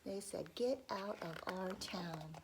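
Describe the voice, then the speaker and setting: Slow, expressive woman reading a children's story. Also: mid-range voice, background noise. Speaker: teacher reading aloud. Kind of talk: children's read-aloud storytelling.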